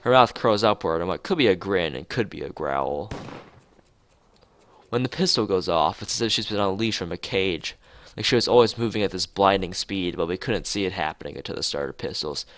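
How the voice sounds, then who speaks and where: A man's voice: deep voice, animated, brisk, fairly bright tone, no room sound. A man streaming a visual novel, reading the text aloud with casual asides and often stumbling and correcting himself. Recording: music behind the voice. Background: game background music.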